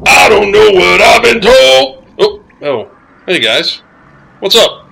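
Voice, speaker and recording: Man playing a gravelly, exasperated colleague. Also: high-pitched, sing-song, takes it slowly, sharp tone, full character voice, low-quality recording. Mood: casual. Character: sensible, exasperated male studio colleague. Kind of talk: comedy audio drama acting.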